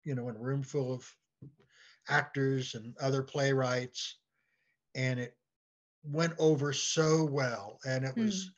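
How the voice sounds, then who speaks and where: An American man chatting casually as an interview guest, telling anecdotes in a halting way and often repeating words. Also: mid-range voice, steady tone. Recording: dull, lo-fi call-like audio.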